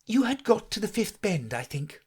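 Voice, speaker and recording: A man's voice: high-pitched, very animated, normal speed, slightly rough, pitched above the performer's own voice, exaggerated voice. A man voicing an eager, polite little girl in a storybook reading. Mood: earnest. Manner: eager, humble, earnest.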